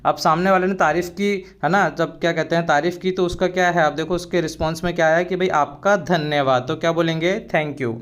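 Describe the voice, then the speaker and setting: A young man teaching spoken English phrases, explaining in Hindi and repeating each English line very slowly. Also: a little high, steady tone, a bit bright.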